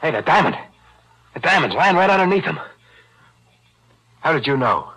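An actor playing a greedy, streetwise ex-con killer in a 1940s radio drama, with a tough, gruff manner. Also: male voice, a little high, sing-song, normal speed, bright, forceful tone, full character voice. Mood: gleeful.

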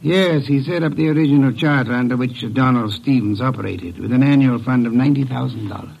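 An actor playing an imperious, grumpy, erudite detective boss with a gravelly voice and formal, precise, curt speech, in an old-time radio drama. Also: male voice, medium pitch, brisk. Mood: measured, probing. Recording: dull old radio recording.

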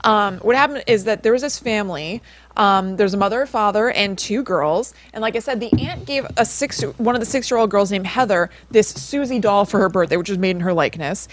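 A woman's voice: medium pitch, brisk, a little hiss or hum. A woman co-hosting a podcast, telling stories in a chatty, informal way peppered with "like". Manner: chatty, informal, frequent use of "like". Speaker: podcast co-host. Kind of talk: casual podcast storytelling.

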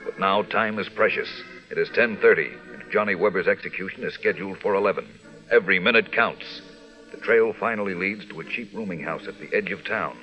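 An actor as a grand, suspenseful narrator of a 1940s radio adventure serial, declaiming on an old broadcast recording. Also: male voice, low-pitched, fairly quick, pushed and bright, low-quality recording. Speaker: announcer and narrator. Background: dramatic music.

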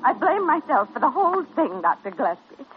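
An actress playing a fretful, flighty hospital nurse in a 1940s radio drama, chattering and flustered. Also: female voice, high voice, very animated, brisk. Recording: muffled, noisy old radio recording.

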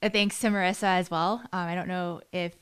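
A woman's voice: on the low side, steady tone, clean tone. A woman hosting a podcast, wrapping up an interview with friendly thanks and laughter. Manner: friendly, giggly, quick thanks. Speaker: podcast host. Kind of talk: casual interview.

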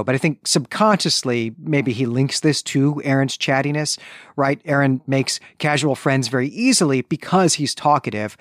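A man's voice: medium pitch, no room sound, studio quality. A man co-hosting a book podcast, explaining and analyzing a story and often checking in with a rhetorical question. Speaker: podcast co-host. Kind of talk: analytical book recap discussion.

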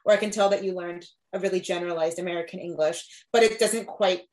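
A woman's voice: fairly low pitch, steady tone, fast, a little mellow, rough recording. Reflective woman in casual talk. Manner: reflective, loose, with frequent fillers. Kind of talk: casual discussion of language learning.

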